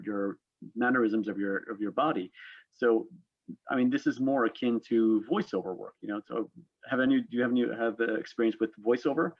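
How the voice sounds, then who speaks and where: A man's voice: mid-range voice, lo-fi sound. A man hosting a panel discussion, feeling his way through wordy questions to his guests. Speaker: panel host. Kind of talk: interviewing a panel.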